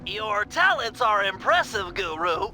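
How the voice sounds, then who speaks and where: A man's voice: sits high, big pitch swings, unhurried, background noise. A man voicing a brainy, nerdy cartoon turtle from a video game, giving precise, wordy and eager instructions. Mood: polite, excited. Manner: precise, verbose, eager briefing. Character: nerdy, brainy, high-voiced cartoon turtle, the gang's planner. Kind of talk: animated video game acting. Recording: music behind the voice.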